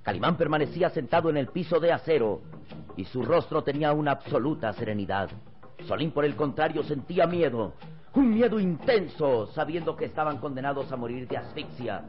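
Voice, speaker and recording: A man's voice: a little high, lively intonation, a little fast. A man narrating a radio serial in an emphatic, theatrical style full of suspense. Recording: dull old radio recording with music.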